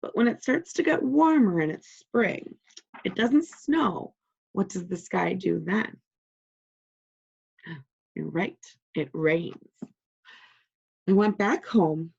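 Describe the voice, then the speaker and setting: A woman teacher doing a read-aloud for young children, deliberate and encouraging as she prompts them with simple questions. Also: mid-range voice, gravelly, husky, muffled recording.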